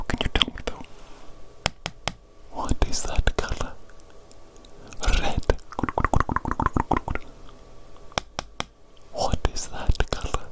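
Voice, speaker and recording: Female ASMR performer as a careful, attentive doctor. Breathy whisper throughout, very unhurried, softly repetitive. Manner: slow, deliberate, repeats words and phrases softly. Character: attentive, meticulous doctor examining a patient. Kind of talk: whispered ASMR medical roleplay.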